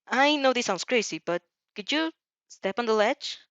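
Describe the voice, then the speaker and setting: A woman's voice: a little high, animated, moderate pace, a bit bright, no room sound, pitched above the performer's own voice. Woman playing patient guiding mentor, muffled recording. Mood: hesitant.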